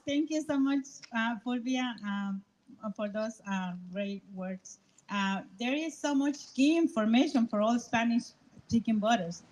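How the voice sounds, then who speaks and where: A woman's voice: on the high side, takes it slowly, crowd noise, background noise. A woman hosting a press event, introducing speakers with many fillers. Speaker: host. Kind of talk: emceeing a press event in two languages. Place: outdoor rally.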